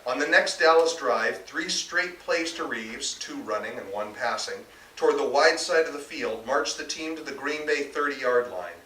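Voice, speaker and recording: A man's voice: mid-range voice, expressive, normal speed, few pauses, pushed and bright. An American man, an author reading his novel aloud as live narration, recorded indoors at a distance. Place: bookstore reading event, indoors. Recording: roomy, distant live recording, faint noise.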